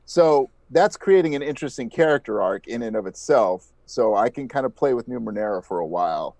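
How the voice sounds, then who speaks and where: A man's voice: mid-range voice, lively intonation, fairly quick, creaky, darkish tone, dry recording. Man chatting casually in an interview, dry sound. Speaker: podcast creator being interviewed.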